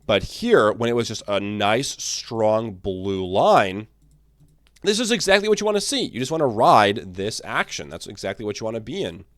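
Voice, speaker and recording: A man hosting his own market commentary channel, talking casually and energetically, his voice a little raspy from talking all day. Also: on the low side, normal speed, no room sound, studio quality. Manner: energetic, animated, restarts and fillers. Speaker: crypto channel host.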